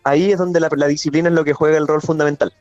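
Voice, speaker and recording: Man, thirties, Chilean accent, interview guest, slightly high pitch, dull remote audio. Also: steady tone, racing, a little mellow, dry recording. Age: 30s. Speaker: interview guest, Paralympic athlete. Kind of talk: conversational radio interview answers.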